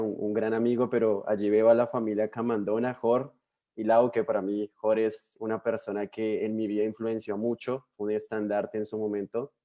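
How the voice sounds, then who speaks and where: Young Colombian man, missionary guest speaker on a video call; relaxed, mellow tone, friendly and rambling. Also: fairly low pitch. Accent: Colombian Spanish. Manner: friendly, chatty, many fillers and restarts. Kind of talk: warm personal introduction to a church.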